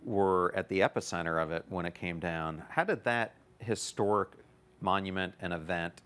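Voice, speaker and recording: Deep-voiced man hosting an interview. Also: moderate pace, fairly bright tone, smooth. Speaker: interview host. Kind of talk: conversational interview questions.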